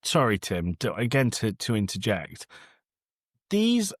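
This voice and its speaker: A man's voice: fairly low pitch, wildly swooping pitch, unhurried, no echo, studio quality. A man co-hosting a casual film review chat, exasperated, blunt and sweary. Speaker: podcast co-host.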